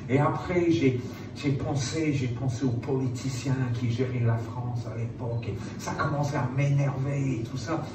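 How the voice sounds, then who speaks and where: A very reverberant, noisy recording of a middle-aged man preaching, picked up away from the microphone. He tells stories theatrically, acting out the dialogue as he goes, in a mid-pitched voice. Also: moderate pace. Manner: animated, theatrical retelling with acted-out dialogue. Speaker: preacher, former pastor. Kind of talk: storytelling sermon to a live audience. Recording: very echoey room, distant mic, clear noise.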